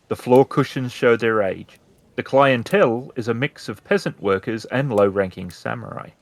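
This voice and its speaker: Animated male game master narrating scenes. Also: medium pitch, dry recording.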